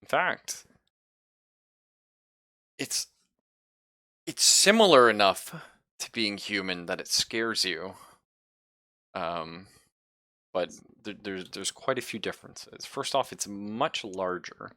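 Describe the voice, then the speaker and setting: A man acting as game master, improvising descriptions and chatting informally, with a halting, casual delivery. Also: on the low side, lively intonation, bright, forceful tone, no echo. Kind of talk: improvised tabletop game narration and casual chat.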